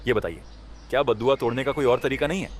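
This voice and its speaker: A man voicing a kind, humble gentleman in a cartoon fable. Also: medium pitch, quick pace, a little hiss or hum, lightly acted. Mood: curious. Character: kind, humble village gentleman who helps animals.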